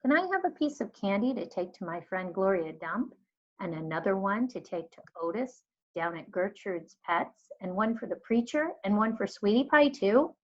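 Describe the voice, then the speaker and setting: A woman voices an earnest, lonely young girl from a storybook. She is polite, frank and inquisitive, at a middle pitch. The sound is slightly dull. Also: animated, normal speed, close to an everyday voice. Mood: eager, curious. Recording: dull lo-fi recording.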